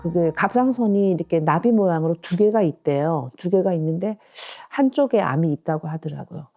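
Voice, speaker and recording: A woman in her sixties, a patient, describing her symptoms in casual interview talk, earnest and halting as she searches for words. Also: fairly low pitch, lively intonation, warm tone, faint music behind. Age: 60s.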